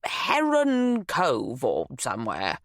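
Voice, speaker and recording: A woman voicing a nosy, chatty older woman who runs a shop, insistent and quick to huff. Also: rumbling low, huge pitch swings, no echo, clean studio sound, full character voice.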